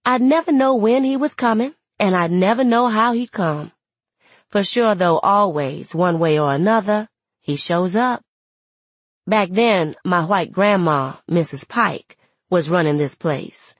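Actress as plainspoken young woman, wry, resentful, first-person audiobook, conversational dialect, husky, dry sound. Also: mid-range voice, measured pace, no room sound, natural voice. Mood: wry, aggrieved.

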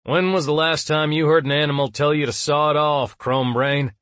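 A man's voice: on the high side, fairly even intonation, moderate pace, fairly bright tone, no echo, voice pushed up, natural voice. Man playing a sardonic shapeshifter beast, slightly raised voice. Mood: defiant. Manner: sardonic, combative. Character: gruff, sarcastic, growling shapeshifter in beast form.

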